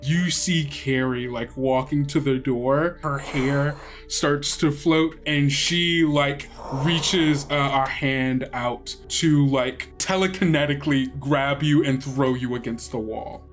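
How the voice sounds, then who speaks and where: A man's voice: mid-range voice, fairly even intonation, slow, soft background music. A man working as game master, narrating a tabletop roleplay in an informal, improvised way.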